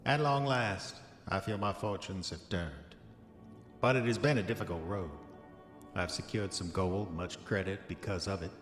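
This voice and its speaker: An actor playing an arrogant, dissolute diarist of the 1910s, speaking formal old-fashioned entries in a pompous manner for a horror audio drama. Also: male voice, sits low, moderate pace, fairly bright tone. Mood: smug. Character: arrogant, dissolute 1910s male diarist, self-satisfied, increasingly haunted. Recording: echoey long reverb, music underneath. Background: music.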